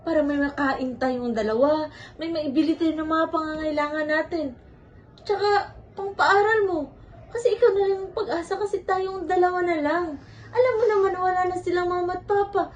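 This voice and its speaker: A woman's voice: extremely high pitch, a little mellow. A Filipino woman playing a hardworking, self-sacrificing older sister in a stage drama, speaking in an earnest, motherly, pleading way. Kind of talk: amateur one-act stage play acting in Filipino. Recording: dull lo-fi sound, faint noise.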